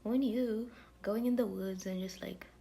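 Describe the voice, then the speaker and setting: A woman's voice: mid-range voice, steady tone, unhurried, mellow. A woman vlogger chatting to camera in a rambling, casual way, full of fillers and dropping now and then into whispery asides. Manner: drifting, many fillers, drops into whispery asides.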